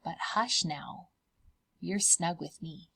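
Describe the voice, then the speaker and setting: A woman voicing a gentle, reassuring mother polar bear in a storybook, patient and soothing. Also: on the low side, slow pace, gravelly, slightly rough, full character voice. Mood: hushing, soothing.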